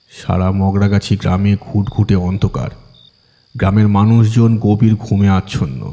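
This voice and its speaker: A man's voice: lowish voice, warm tone. A man narrating a ghost story in the first person as a dramatic audiobook reading. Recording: voice with long reverb.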